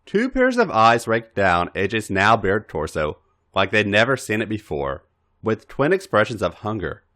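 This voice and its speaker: A man with a fairly deep voice reads fiction aloud for a podcast. His narration is highly animated, with big swings in pitch across the phrases. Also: moderate pace. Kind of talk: expressive scripted story narration.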